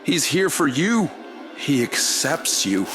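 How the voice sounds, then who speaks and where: A man plays a fanatical cult leader in an audio horror drama. He drags out his words very slowly and reverently, with huge swoops of pitch, as music plays clearly underneath. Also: sing-song. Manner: slow, reverent, drawn-out delivery. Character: fanatical, awestruck male cult leader worshipping a forest monster.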